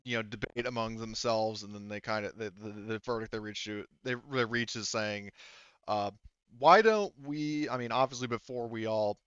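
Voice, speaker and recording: Smooth low-voiced man running a tabletop game. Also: fairly bright tone, dry recording, highs cut off. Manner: halting, rambling explanation full of asides. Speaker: game master. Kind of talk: improvised narration of a tabletop role-playing game.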